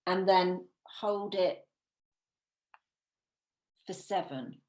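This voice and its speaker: A woman leading a guided visualisation as a meditation teacher, soothing and unhurried, with long pauses between phrases. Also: lowish voice, darkish tone. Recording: roomy, echoing sound.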